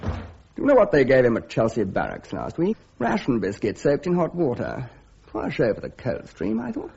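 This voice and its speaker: British radio drama: eager, muddle-headed young officer, posh slang, chatty, mellow but very husky voice, lo-fi sound. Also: male voice, medium pitch, wildly swooping pitch, normal speed, full character voice. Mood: gossipy, breezy. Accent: upper-class British. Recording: dull, lo-fi radio drama recording.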